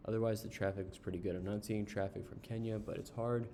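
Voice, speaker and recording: A man casually reviewing an online service while browsing its pages, unhurried, with frequent ums and uhs. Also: lowish voice, fairly even intonation, noisy background. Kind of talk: casual screen-share review.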